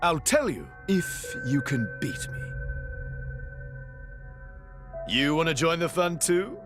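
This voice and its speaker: A man's voice: a little high, very animated, a little slow. A man voicing a cocky, flamboyant crown prince and swordsman in a video game, boastful, teasing and theatrical. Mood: smug, challenging. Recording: loud music under dialogue.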